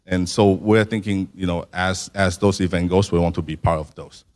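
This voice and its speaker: A man, a startup founder, answering audience questions in a halting way, often stopping to search for words. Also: low voice, steady tone, darkish tone. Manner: halting, many fillers and restarts.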